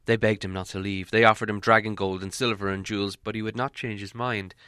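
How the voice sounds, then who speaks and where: A man narrating an audiobook in a steady, measured storytelling manner. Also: on the low side, clear, resonant tone, no echo, clean studio sound. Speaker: audiobook reader.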